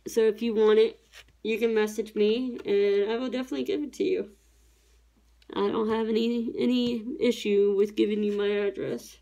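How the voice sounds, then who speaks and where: A woman's voice: mid-range voice, fairly even intonation, normal speed, dark tone, poor audio. A woman in her thirties from Tennessee, chatting casually and rambling as she works on a craft at home. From Tennessee, USA. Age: 30s. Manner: rambling, chatty, self-correcting. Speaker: diamond painting YouTuber. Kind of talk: casual chat while crafting. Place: home craft table, indoors.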